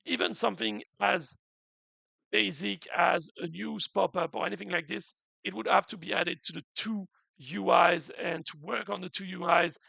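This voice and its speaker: A man's voice: high-pitched, fairly even intonation, normal speed, creaky, pushed and bright, dry recording. A man hosting a game's community stream, explaining updates in an earnest, casual way with many restarts; his throat is a bit sore after the flu. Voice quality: unusual voice, in his words.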